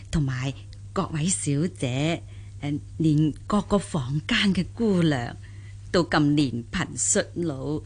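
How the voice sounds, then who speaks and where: Slow, husky, humble old countrywoman. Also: sits low, full character voice. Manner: deferential, rambling, sighing old-woman delivery. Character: humble, grateful elderly countrywoman, talkative and deferential. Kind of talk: Cantonese radio drama acting.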